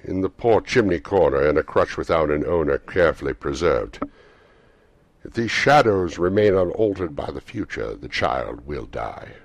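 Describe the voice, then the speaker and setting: A man playing a stern, solemn spirit who declaims slowly and reproachfully, as in a storybook reading. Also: extremely low pitch, animated, vocal fry, no echo, full character voice. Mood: solemn, foreboding. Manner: declamatory, slow, emphatic, indignant.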